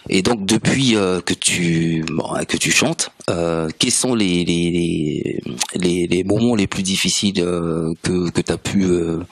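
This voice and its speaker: A male radio host interviewing an artist in the studio, halting and full of fillers as he asks his questions. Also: low-pitched, husky, no room sound. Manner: halting, many fillers and restarts.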